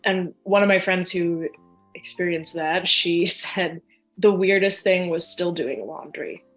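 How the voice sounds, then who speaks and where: A woman's voice: lowish voice, measured pace, soft background music, low-quality recording. A young adult woman from near Chicago, a podcast guest chatting casually and animatedly, full of fillers and quoted asides. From United States, near Chicago. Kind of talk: casual conversational interview.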